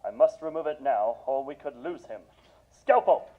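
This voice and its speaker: A man's voice: mid-range voice, animated, normal speed, lightly acted. A man acting as a commanding surgeon in a radio drama, clipped and urgent, on a thin, narrow recording. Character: tense, commanding male surgeon at work. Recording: thin, phone-like narrow sound.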